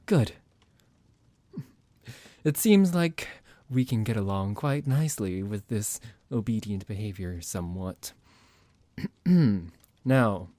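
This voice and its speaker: A man performs a psychotic vampire doctor in an ASMR roleplay, low-voiced and unhurried. He muses with hesitant pauses, condescending and mock-polite toward his captive. The sound is close and dry. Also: a little mellow, no echo. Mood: stern, commanding. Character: psychotic male vampire doctor, menacing, condescending, playful captor.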